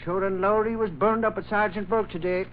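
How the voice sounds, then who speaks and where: A man acting an excitable, blustery newspaper reporter with slangy talk in an old-time American radio drama. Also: sits high, fairly quick, natural voice. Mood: animated, gossipy. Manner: blustery, exasperated, colloquial. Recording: muffled, hissy old radio recording.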